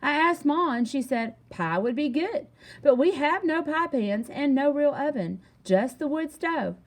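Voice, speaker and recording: A woman reading a children's story aloud in an animated, expressive way with emphatic delivery. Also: high voice, measured pace. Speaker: storybook reader.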